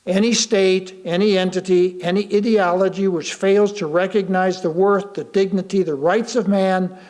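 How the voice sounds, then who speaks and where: An American man reads a sermon aloud to a congregation, speaking deliberately with pauses for emphasis and rhetorical questions. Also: high voice, steady tone, a little mellow. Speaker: lay reader delivering a sermon.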